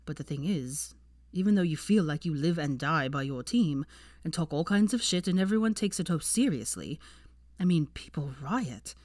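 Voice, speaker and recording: A woman voicing a calm, aloof young wizard man with a civil, drily sarcastic manner in a story reading. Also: no room sound, studio quality. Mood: reflective. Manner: low-key, measured, civil, drily sarcastic.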